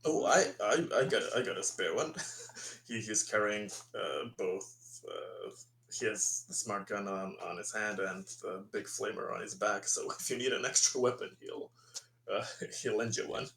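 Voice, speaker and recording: A man's voice: on the low side, moderate pace, a bit bright. A man playing a tabletop game, chatting casually with dry, sardonic remarks.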